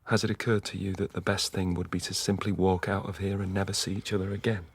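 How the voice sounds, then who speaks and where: An actor playing a weary, haunted middle-aged dissident in an intimate, brooding radio drama style. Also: male voice, sits low, level tone, creaky, husky, heavily acted. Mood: bitter, despairing. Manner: slow, halting, brooding. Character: weary, haunted male dissident confiding memories and fears.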